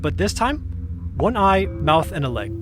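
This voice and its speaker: A woman's voice: fairly low pitch, sing-song, measured pace, over music. A woman playing an easygoing young guide to folklore spirits, casual and wry, in an audio drama. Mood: explanatory, amused. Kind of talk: audio drama character acting.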